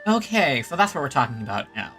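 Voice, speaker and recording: A woman's voice: very deep voice, big pitch swings, fast talker, music in the background. A woman streaming a game, giving casual, reactive commentary as the story unfolds.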